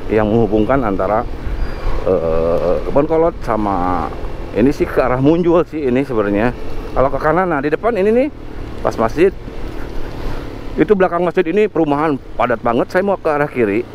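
A man chats his way through a ride on a scooter, stopping to search for street names. His pitch sits mid-range, and faint traffic hums under the helmet-mounted mic. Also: darkish tone, low-quality recording. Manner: chatty, thinks aloud, frequent fillers. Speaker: motovlog host. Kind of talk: casual narration while riding. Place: outdoors on a motor scooter in town traffic. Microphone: helmet or body camera mic. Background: faint traffic and engine noise.

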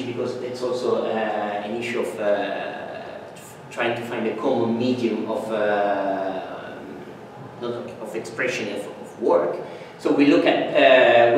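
A man's voice: mid-range voice, slight background noise. A man in his thirties giving an academic lecture in a seminar room, a non-native speaker who hesitates often, with many ums and restarts. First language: Italian. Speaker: guest lecturer. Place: university seminar room in front of a projector screen. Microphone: far room camera, no mic seen. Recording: echoey room from far off.

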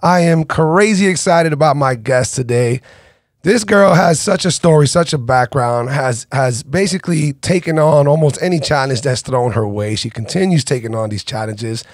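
A man in his forties hosting a podcast, chatting energetically with his guest in a small studio on a boom-arm mic. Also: lively intonation, moderate pace, dry recording. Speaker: podcast host. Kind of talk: casual interview. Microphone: boom-arm studio mic with headphones.

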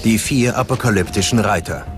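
A man's voice: on the low side, fairly quick. A man narrating a scripted documentary in a grave, dramatic manner. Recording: prominent music bed under voice-over. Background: music.

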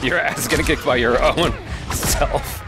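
A man's voice: medium pitch, normal speed. A man in his thirties giving casual, exclamatory reaction commentary on a close mic. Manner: animated, exclamatory, swearing. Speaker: reaction channel host. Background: game cutscene music.

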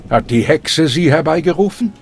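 An actor playing a stern, solemn older preacher of a fire cult in a dubbed video game. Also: male voice, a little high, expressive, normal speed, creaky. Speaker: game character. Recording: game audio with music underneath.

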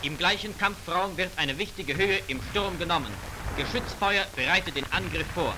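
A man's voice: high voice, moderate pace, heavy background noise. A man narrating a wartime propaganda newsreel in a formal, declamatory manner, on an old 1940s film soundtrack. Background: loud music, war sound effects.

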